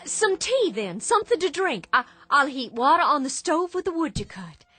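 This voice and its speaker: A bitter, sick widow. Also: female voice, sits high, big pitch swings, normal speed, fairly bright tone. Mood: pleading. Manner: emotional and insistent, with stammered starts. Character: sick, bitter Southern widow clinging to her grief. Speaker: radio drama actress. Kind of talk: old-time radio drama acting. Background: faint underscore music.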